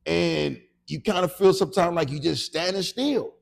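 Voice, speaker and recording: A man's voice: fairly high pitch, normal speed, creaky. An American man in his late forties talking casually and reflectively as a podcast co-host, often checking in with his listener. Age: late 40s.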